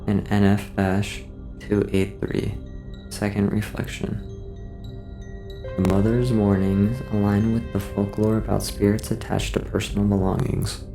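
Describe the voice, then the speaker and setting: Very low, gravelly male narrator. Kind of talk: scripted horror narration, case-file log reading. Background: music.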